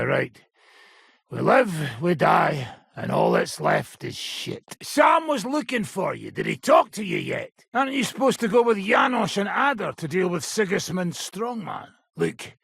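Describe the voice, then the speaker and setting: Give me a gruff, menacing game mercenary, very raspy and gravelly, with wildly swinging pitch. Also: male voice, a bit bright, no room sound, clean studio sound, full character voice. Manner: coarse, aggressive, swaggering. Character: gruff, foul-mouthed mercenary brawler, menacing and sardonic. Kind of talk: video game character acting.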